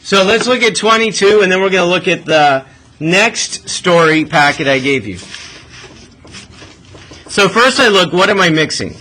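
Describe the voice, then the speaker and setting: High, bright-voiced man teaching math. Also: animated, normal speed, long pauses. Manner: informal, frequent check questions. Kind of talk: classroom lesson, working a problem aloud. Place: classroom with students. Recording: noisy classroom recording.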